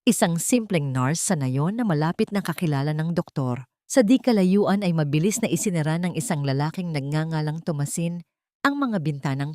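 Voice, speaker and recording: A woman storyteller with a low-pitched voice reads a scripted melodrama. Her delivery is solemn and dramatic, and the sound is very dry, with no room echo. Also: darkish tone. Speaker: narrator of dramatic stories.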